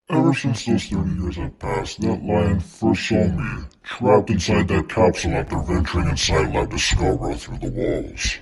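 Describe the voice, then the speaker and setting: Man as vengeful alien villain, very low voice, slow theatrical delivery, gravelly growl, game-style character monologue. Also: low-quality recording. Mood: gloating. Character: menacing, vengeful alien psychic mastermind villain. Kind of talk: fan character voice clips, dramatic monologue.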